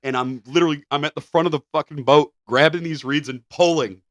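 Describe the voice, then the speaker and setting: A man's voice: on the high side, steady tone, fast talker, no room sound, clean studio sound. A man co-hosting a podcast, telling a story casually with restarts and casual swearing. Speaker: podcast co-host.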